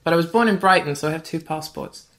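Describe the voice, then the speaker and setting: A woman from Australia, a writer and podcast guest, telling anecdotes in a chatty, animated way. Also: deep voice, quick pace. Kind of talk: casual anecdotal storytelling.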